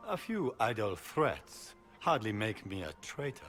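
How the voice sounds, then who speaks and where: A man's voice: on the low side, big pitch swings, measured pace, fairly bright tone, soft background music. An actor playing a proud, scheming nobleman in a video game, aristocratic and accusing in manner. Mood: indignant. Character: proud, indignant scheming nobleman, a Grand Duke.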